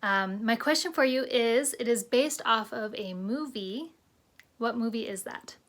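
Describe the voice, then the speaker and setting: A woman with a somewhat high voice speaks as an author. Her style is casual as she introduces her work and asks a trivia question. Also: unhurried, fairly bright tone. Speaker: young adult author.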